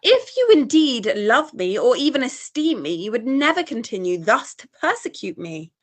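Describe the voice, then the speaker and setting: Woman playing a high-voiced, earnest melodrama heroine. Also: sing-song, moderate pace. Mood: dismayed, firm. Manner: formal, earnest, dramatic. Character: gentle, sensitive young Victorian heiress, earnest and proper. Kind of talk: old-style radio theatre melodrama acting.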